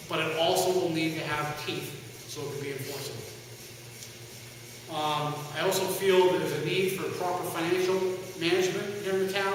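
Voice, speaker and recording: Loud man speaking in an echoey hall. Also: fairly high pitch, noisy background. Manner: earnest, plain-spoken, many ums and uhs. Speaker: political candidate. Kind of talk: candidate's introductory speech. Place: large hall at a town meeting. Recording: echoey hall recorded from far off.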